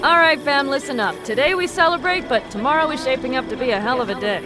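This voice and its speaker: An actress playing a brash, warm, spirited young nomad fighter in a video game. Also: female voice, sits high, lively intonation, fast, music in the background. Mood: rousing, teasing.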